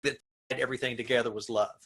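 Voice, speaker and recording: A man with a bright, forceful voice at a middling pitch talks over a video call. He explains things casually, as a cast member filling in background. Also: fairly even intonation, racing, slightly rough.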